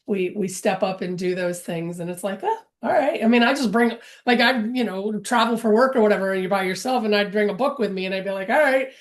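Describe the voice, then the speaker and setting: Woman guest, casual and upbeat storyteller, middle-pitched voice. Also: fairly quick, few pauses. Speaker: podcast guest. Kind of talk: casual podcast conversation.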